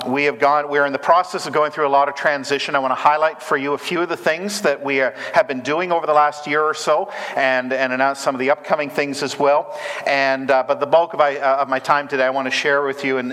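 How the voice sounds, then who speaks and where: A man in his forties from Canada, addressing a church congregation in a relaxed, joking way with lots of fillers and restarts. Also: medium pitch, big-room sound. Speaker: church ministry representative. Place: church sanctuary.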